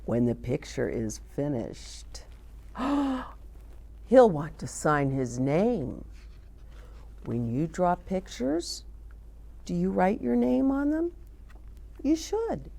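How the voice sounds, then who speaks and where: A woman's voice: on the low side, sing-song, very slow, creaky, pauses often, mellow. A woman hosting children's storytime, reading a picture book aloud expressively with chatty asides to young listeners.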